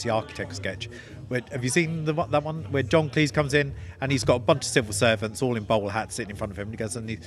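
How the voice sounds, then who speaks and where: A man with a cold and a nasal voice, a novelist guest talking enthusiastically and rambling about a film. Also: fairly low pitch. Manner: enthusiastic, rambling, full of restarts. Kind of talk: casual film discussion.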